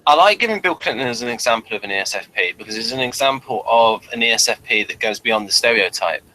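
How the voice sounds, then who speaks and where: A man's voice: mid-range voice, animated, fast, pushed and bright, a little hiss or hum, low-quality recording. A man chatting casually and without a script as a podcast co-host. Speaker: podcast co-host reviewing a TV show. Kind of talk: casual unscripted chat.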